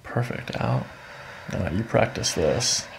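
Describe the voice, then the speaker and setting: Male chiropractor, middle-aged, very creaky voice, lively pitch swings, calm reassuring cues in a treatment room. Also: takes it slowly, a little hiss or hum, rough recording. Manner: soothing, reassuring short instructions. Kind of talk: hands-on patient coaching during treatment, ASMR. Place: small carpeted treatment office, indoors. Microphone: microphone on boom stand nearby.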